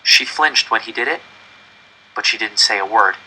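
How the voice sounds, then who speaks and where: A man's voice: lowish voice, moderate pace, pushed and bright, breathy edge, low-quality recording. A man reading a first-person story aloud with dramatic, tense delivery. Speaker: audiobook reader.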